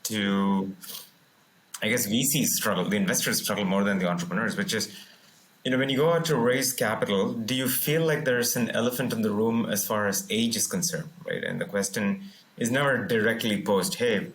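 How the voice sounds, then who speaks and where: A man's voice: lowish voice, moderate pace, a bit bright, rough recording. A man in his forties hosting a video call interview on a headset, conversational and affirming. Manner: conversational, frequent backchannels. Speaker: podcast host.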